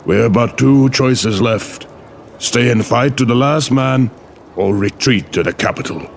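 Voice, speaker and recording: A man playing a grave, noble, weary human knight commander in a fantasy game cutscene, solemn and gravelly. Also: slight background noise. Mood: grim. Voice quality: low, gravelly. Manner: slow, solemn, burdened.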